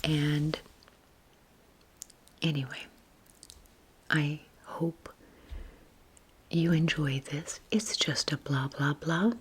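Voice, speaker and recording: An older woman in her sixties whispers softly and breathily, rambling informally and unhurriedly in a relaxation ASMR style, with a self-deprecating manner. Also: low-pitched, steady tone, extremely slow, long pauses, slightly rough. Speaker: YouTube video creator.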